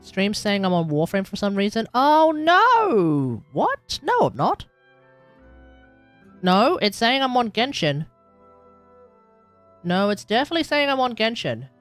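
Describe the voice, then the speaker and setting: A young adult Australian game streamer, rambling casually while playing, musing aloud and chatting to viewers with sudden loud outbursts. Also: mid-range voice, wildly swooping pitch, measured pace, pauses often, faint music behind.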